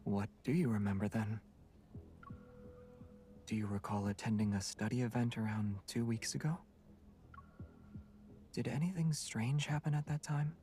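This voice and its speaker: Calm, guarded young man, played by an actor. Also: on the low side, far from the mic, close to an everyday voice. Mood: serious. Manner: calm, measured. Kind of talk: video game voice acting.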